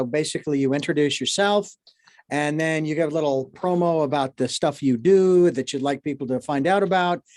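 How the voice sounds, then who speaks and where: Male producer, brisk and businesslike, slightly high pitch, dry recording. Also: a little mellow, no room sound. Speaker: show producer. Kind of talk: casual production talk.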